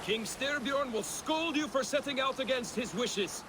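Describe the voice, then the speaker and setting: Game Viking shipmate, very high for a man, blunt, mocking, noisy water behind. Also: moderate pace. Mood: scolding. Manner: sardonic, needling. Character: blunt, mocking Viking crewman, loyal and crude. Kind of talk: video game cutscene acting.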